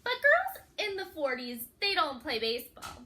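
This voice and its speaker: A woman's voice: high voice, huge pitch swings, unhurried, pushed and bright, a little hiss or hum. A woman reading a picture book aloud to young children, expressively, with dramatic emphasis and questions put to the listeners. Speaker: children's read-aloud storyteller.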